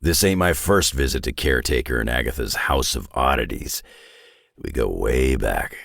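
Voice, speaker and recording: An actor playing a gruff, world-weary, tough older brawler in a video game, with a gravelly, raspy growl and a blunt, laconic delivery. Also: male voice, very deep voice, moderate pace, fairly bright tone, no echo, clean studio sound, exaggerated voice. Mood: dry, conversational. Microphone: close, dry studio microphone.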